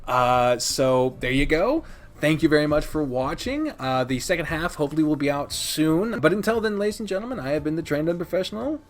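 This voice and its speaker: A man's voice: on the high side, lively intonation, a little fast. A man hosting a casual countdown review of video games, rambling and informal, swearing freely and speaking with emphatic opinions. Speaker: video game reviewer and channel host. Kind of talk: casual countdown review commentary, partly scripted.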